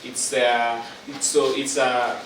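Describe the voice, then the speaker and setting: A man's voice: mid-range voice, level tone, slow, noisy background. Young Kenyan man, distant and echoey. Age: young adult. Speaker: guest. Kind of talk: casual interview. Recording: echoey room from far off with chatter behind.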